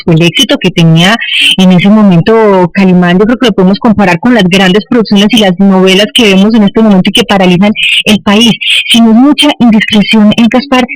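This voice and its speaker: A man with a high voice speaks as a radio interviewer. He comments and puts questions in a conversational on-air style. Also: poor audio.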